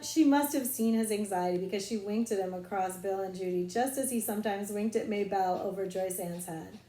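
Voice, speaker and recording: A woman reading a children's novel aloud as expressive, dramatic narration. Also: medium pitch. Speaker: audiobook reader, librarian. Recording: roomy echo.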